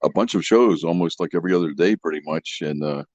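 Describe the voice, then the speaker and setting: Lowish male voice, slightly dull audio. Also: fast, darkish tone, dry recording. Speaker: podcast guest. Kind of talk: casual chat.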